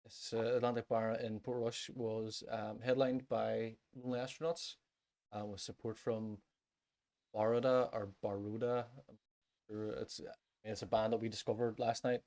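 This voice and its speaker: A man co-hosting a podcast in casual chat, speaking in a hesitant, halting way. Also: fairly low pitch, level tone, darkish tone. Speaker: podcast co-host.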